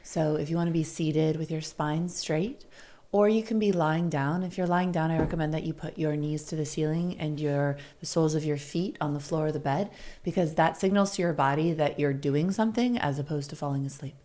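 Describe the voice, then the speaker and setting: A woman leading a guided meditation in a soothing, deliberate, gently inviting manner. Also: sits low. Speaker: guest meditation facilitator.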